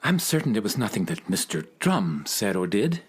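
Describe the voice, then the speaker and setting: A man's voice: on the low side, very animated, moderate pace, background noise. A man playing an earnest, courteous male schoolteacher in a children's audio drama, speaking politely. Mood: earnest, concerned.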